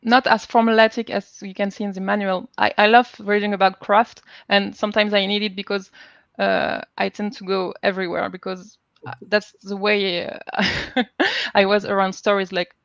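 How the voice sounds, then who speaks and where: A woman with a French accent talking informally as a panel guest, haltingly searching for words. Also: medium pitch, level tone, creaky, a bit bright, no room sound, muffled recording. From France. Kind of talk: informal live panel talk.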